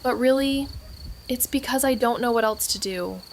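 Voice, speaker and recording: A woman playing a guilt-ridden young woman in an audio drama, speaking in an emotional, halting, confessional way. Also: fairly high pitch, slight background noise, close to an everyday voice. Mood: guilty, weary. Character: Mags, guilt-ridden, anguished young woman, former best friend. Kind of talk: naturalistic audio drama acting.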